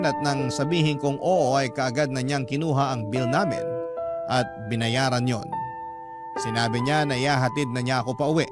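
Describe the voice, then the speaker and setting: A man reading a first-person love letter as a dramatic radio storyteller. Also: medium pitch. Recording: prominent background music under narration. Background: loud instrumental music bed.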